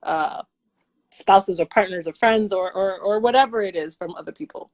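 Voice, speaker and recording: Chatty woman with a deep voice. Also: moderate pace, a little mellow. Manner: upbeat, affectionate, chatty. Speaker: podcast co-host. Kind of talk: casual chat.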